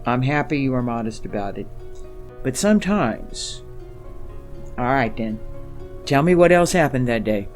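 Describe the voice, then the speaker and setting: A man's voice: mid-range voice, animated, a little slow, pauses often, soft background music, close to an everyday voice. A man playing a thoughtful, seasoned ambassador in a science fiction audio drama, with a composed, considered and candid delivery. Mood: warmly teasing.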